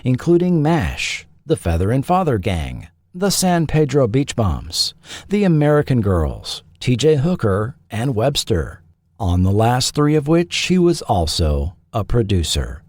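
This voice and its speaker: A man's voice: medium pitch, big pitch swings, a little slow, darkish tone, no echo. Man narrating in a dry, close studio sound. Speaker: podcast host and narrator. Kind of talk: scripted documentary narration.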